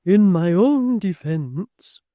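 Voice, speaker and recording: A timid, tearful spinster, as a woman voices her, speaking slowly in a soft, faltering, pleading way. The voice is mellow and dry, with a fairly lively lilt. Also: on the low side, dry recording, voice pushed up. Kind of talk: audiobook character voice.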